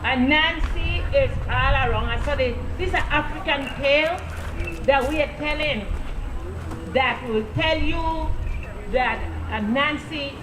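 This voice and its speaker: High-voiced older Caribbean woman telling folk tales. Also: measured pace. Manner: conversational, checks in with listeners using short questions. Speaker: storyteller. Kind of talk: oral folk storytelling and informal talk to a gathering. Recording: echoey room with clear background noise.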